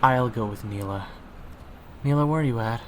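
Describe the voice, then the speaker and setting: A man's voice: medium pitch, moderate pace, fairly bright tone, slight background noise. A young man performing an audio roleplay in a casual, wry manner.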